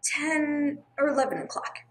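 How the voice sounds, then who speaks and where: A woman playing a gentle, earnest, sorrowful nun in a radio play, speaking with some hesitation. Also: sits high, fairly even intonation, normal speed, lightly acted. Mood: earnest, helpful. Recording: clear background noise, room ambience.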